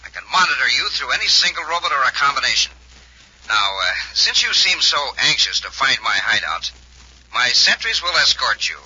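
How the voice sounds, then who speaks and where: A man's voice: mid-range voice, big pitch swings, a little fast, sharp tone, breathy edge, full character voice. A man playing a gloating mastermind villain in 1950s radio style, menacing and smugly polite, on a muffled, noisy old recording. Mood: smug command. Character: gloating male mastermind villain with a super brain.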